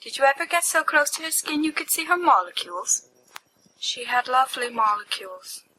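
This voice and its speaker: A woman with a fairly high, very bright voice performs a piece of fiction. She acts out the characters' banter in a playful, expressive way. It is an amateur home recording with faint noise behind her. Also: fairly quick, low-quality recording. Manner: expressive, playful, acting out giddy young men. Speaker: amateur podfic reader. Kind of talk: animated dramatized fiction reading with character voices.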